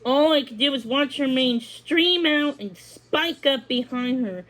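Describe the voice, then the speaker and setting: A woman's voice: high voice, a little slow, a bit bright, low-quality recording. A woman narrating a scripted children's story as a read-along.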